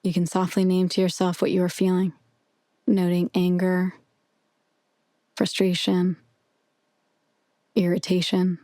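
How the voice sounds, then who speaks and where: A woman narrating a guided meditation with soothing, measured, gentle instruction. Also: fairly low pitch, monotone, dry recording, clean studio sound.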